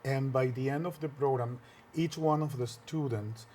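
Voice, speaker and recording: Man, Spanish first language, earnest, halting, restarts sentences, mid pitch, interview in a TV studio. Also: a little mellow, a little hiss or hum. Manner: earnest, with frequent pauses and restarts. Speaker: filmmaker and workshop teacher. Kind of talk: conversational interview answers.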